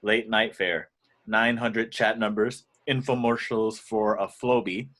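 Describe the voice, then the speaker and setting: A man's voice: on the low side, fairly even intonation, slow, a bit bright. A man narrating screenplay action lines as scripted narration in an amateur table read. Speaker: narrator reading stage directions.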